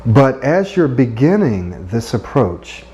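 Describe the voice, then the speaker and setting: A middle-aged man coaching listeners on speaking, earnest and encouraging, often checking in with a quick "right?". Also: medium pitch, sing-song, mellow, rough recording. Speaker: speech coach. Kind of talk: instructional talk.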